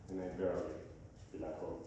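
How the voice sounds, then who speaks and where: A man with a Venezuelan Spanish accent preaches a sermon slowly, stopping to hunt for words. His voice is low and mellow. It sounds like he's well away from the mic in a big echoey church, with a faint hiss behind him. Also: little pitch movement. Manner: halting, searching for words, frequent "uh" and restarts. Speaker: guest preacher. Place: church sanctuary. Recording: echoey hall from far off.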